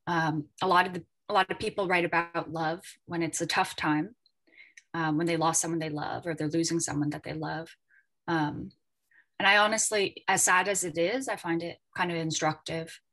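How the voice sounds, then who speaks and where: A woman with a voice a little lower than average speaks as a guest editor on a panel. Her delivery is conversational and reflective, with tentative, informal phrasing as she works through her thoughts. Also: steady tone, moderate pace, fairly bright tone.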